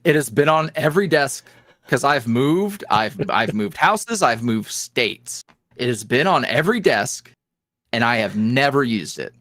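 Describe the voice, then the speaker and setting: A man's voice: mid-range voice, lively intonation, a little slow, fairly bright tone, dry recording, studio quality. A young man, a voice creator, chatting casually as a podcast guest, rambling with many restarts. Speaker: guest, ASMR roleplay creator. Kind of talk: casual interview chat. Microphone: headset.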